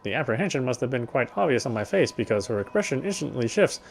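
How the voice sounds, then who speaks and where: A man's voice: medium pitch, sing-song, fast talker, vocal fry, slight background noise. A man reading visual novel narration aloud, unpolished, with occasional stumbles and self-corrections.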